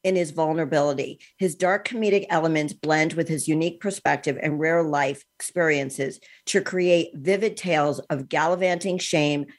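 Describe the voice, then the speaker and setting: A woman in her sixties with a low voice hosts a podcast interview. She talks in a chatty, eager way and fills pauses with an occasional um. Her low pitch sits well under most women's voices. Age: 60s. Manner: chatty, enthusiastic, uses ums. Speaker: podcast host.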